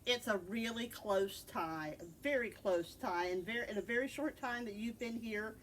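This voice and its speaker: A middle-aged woman giving a conversational book talk as a librarian, in an encouraging, gently prompting manner. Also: on the high side, moderate pace. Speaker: librarian and co-host recommending books. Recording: distant mic with loud background noise.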